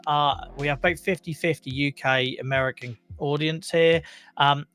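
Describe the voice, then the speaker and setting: A young man with a croaky voice hosting a live chronic pain talk show, chatting casually to his viewers with many fillers and laughs. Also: mid-range voice, a bit bright.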